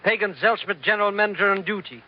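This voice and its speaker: An actor playing a cowardly, money-loving comic sidekick in an old radio drama, flustered, florid and prone to stammering. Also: male voice, high-pitched, fast talker, natural voice. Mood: self-important, eager. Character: excitable, cowardly, money-loving small-time schemer, comic male sidekick. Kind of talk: 1940s radio comedy acting. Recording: dull old radio recording.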